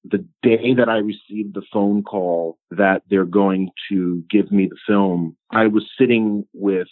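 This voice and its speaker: A man in his forties from Los Angeles speaks in a fairly low voice, telling his story earnestly as an interview guest. He often stumbles and repeats himself at the start of his sentences. His voice comes through a tinny, phone-like line that sounds dry and close. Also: fairly even intonation, a little slow, no echo. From Los Angeles, United States. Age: 40s. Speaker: film director, guest. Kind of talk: interview answers over a remote line.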